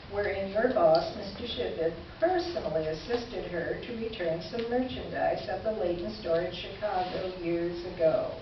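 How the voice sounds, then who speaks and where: A woman playing a wry, kindly letter writer in a staged reading, with measured, articulate, theatrical delivery. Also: medium pitch, close to an everyday voice. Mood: wry, amused. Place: live stage presentation in a roomy venue. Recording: distant, echoey, muffled live stage recording, noisy.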